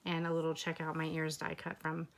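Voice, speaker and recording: Young woman, slightly hoarse, lower voice; relaxed chatty vlog narration. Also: fairly quick. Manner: relaxed, chatty, with fillers and occasional whispered asides. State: slightly hoarse, losing her voice. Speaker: scrapbooking vlogger. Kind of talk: casual walkthrough narration.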